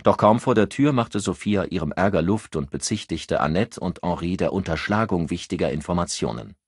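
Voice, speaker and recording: A man narrating a crime novel as an audiobook, in an expressive scripted reading. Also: deep voice, fairly quick, husky, no echo, clean studio sound.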